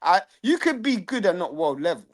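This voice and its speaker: A man's voice: high voice, big pitch swings, fairly quick. A young man, co-hosting a boxing chat, arguing his points in a reasoned, teasing way. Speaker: boxing podcast co-host. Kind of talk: casual chat.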